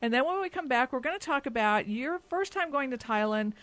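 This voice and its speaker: A woman's voice: sits high, lively intonation, fairly quick, a bit bright, no room sound. A woman hosting a talk radio show, friendly and affirming, steering the conversation with questions. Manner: friendly, affirming, prompting the guest.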